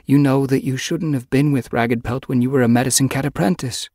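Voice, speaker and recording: A man voicing a wise old female cat in an audiobook story, stern but caring, with measured, admonishing speech. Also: mid-range voice, fairly even intonation, darkish tone, no echo, clean studio sound, pitched above the performer's own voice, close to an everyday voice.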